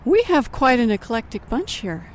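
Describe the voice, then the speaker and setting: A woman's voice: on the high side, sing-song, normal speed. A woman interviewing a band outdoors in a parking lot, friendly and laughing easily. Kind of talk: casual interview.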